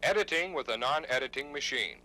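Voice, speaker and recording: A man's voice: mid-range voice, expressive, a little fast, bright, forceful tone, rough recording. A man reading scripted instructional narration in a formal, precise manner, as on a 1970s training videotape.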